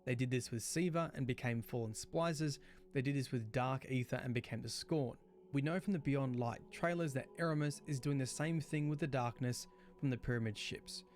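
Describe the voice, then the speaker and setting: A man reading scripted explainer narration in a clear, expository way. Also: medium pitch, normal speed, a little mellow. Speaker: lore video narrator. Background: background music.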